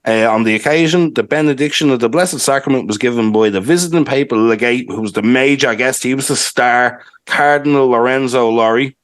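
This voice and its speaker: A young Irish man with a Dublin accent talks his way through a history segment. He rambles loosely and leans on fillers, and his voice sits at a middling pitch. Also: a little fast, hardly any pauses, smooth. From Ireland. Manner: rambling, filler-heavy, chatty. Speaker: podcast co-host. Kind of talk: casual history chat.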